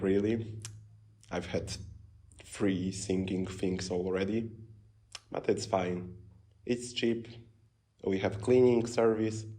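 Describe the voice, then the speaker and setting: Young male student from Poland, about twenty, deep mellow voice, relaxed chatty answers. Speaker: exchange student. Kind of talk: casual interview answers.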